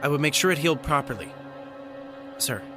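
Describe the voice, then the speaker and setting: An actor playing an earnest, bookish, kind young man in an audio drama, with a gentle, lightly teasing manner. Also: fairly high pitch, expressive, brisk, natural voice. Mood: nervous. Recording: music bed under the voice.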